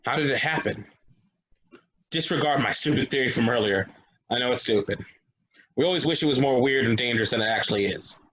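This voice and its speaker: A man's voice: medium pitch, fairly quick, long pauses, a bit bright, close to an everyday voice, rough recording. A man acting a sardonic, troubled, blunt loner at a stage play table reading. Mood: subdued, sad. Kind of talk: stage play table read, naturalistic dialogue.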